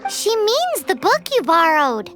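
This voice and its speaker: A woman voicing a tiny, childlike, excitable and cheeky fairy companion in a video game, with a squeaky voice. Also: a little slow, full character voice. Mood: matter-of-fact. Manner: speaks of herself in the third person, exclaiming. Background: prominent playful music.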